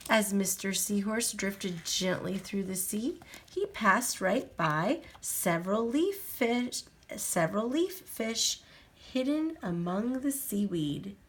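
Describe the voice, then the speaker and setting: A woman reading a children's picture book aloud to a small child at home, gently pointing out things on each page in a sing-song way. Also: medium pitch, animated, slow, breathy edge. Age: adult.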